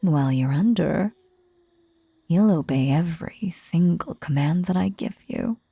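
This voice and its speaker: Slow, breathy, sinister magician played by a woman. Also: on the low side, animated, warm tone, dry recording, heavily acted. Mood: gleeful, scheming. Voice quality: breathy, often slipping into whispers. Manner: theatrical, coaxing, drawn-out phrasing with dramatic pauses. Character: sinister, theatrical, possessive stage magician, sweetly menacing woman. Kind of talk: intimate roleplay audio acting, ASMR-like.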